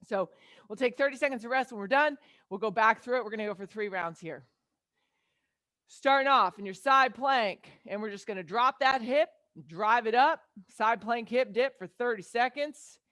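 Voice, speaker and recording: A woman's voice: on the high side, normal speed, fairly bright tone, no echo, studio quality. A woman fitness coach with a Texas accent, cueing a workout class with upbeat encouragement and countdowns.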